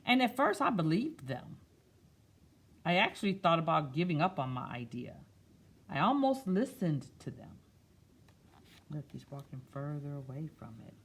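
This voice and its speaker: A woman reading a picture book aloud expressively, slipping into quieter, musing, conversational asides. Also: low voice, sing-song, normal speed, long pauses, husky. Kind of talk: expressive read-aloud with soft, chatty asides.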